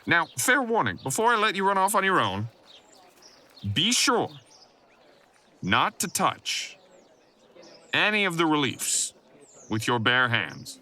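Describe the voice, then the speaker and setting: A man's voice: fairly high pitch, big pitch swings, slow pace, long pauses, sharp tone, voice pushed up, heavily acted. A man voicing a prim, learned professor who lectures formally and precisely on a field trip in an audio drama. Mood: stern warning.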